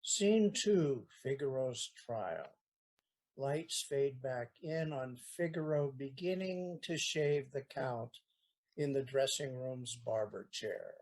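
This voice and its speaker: A narrator with a mid-range voice reads stage directions slowly. It is scripted narration, setting scenes for an audio play. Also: mid-range voice, animated, a little mellow.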